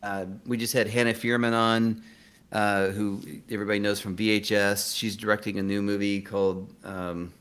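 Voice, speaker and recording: Man, low-pitched voice, informal podcast guest. Self-deprecating, conversational delivery. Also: little pitch movement, normal speed, fairly bright tone, clear, resonant tone. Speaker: interview guest, screenwriter and podcaster. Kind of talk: casual chat.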